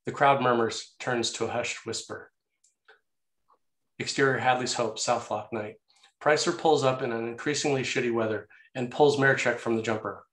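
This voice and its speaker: A man's voice: medium pitch, fairly even intonation, normal speed, fairly bright tone. A man reading scene headings and stage directions as the narrator of a screenplay table read. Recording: roomy, echoey sound.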